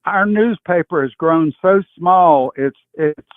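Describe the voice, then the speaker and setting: A man in his eighties calling in to a political talk show by phone, speaking his opinions haltingly. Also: on the high side, no echo. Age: 80s. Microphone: phone call. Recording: thin tinny phone line.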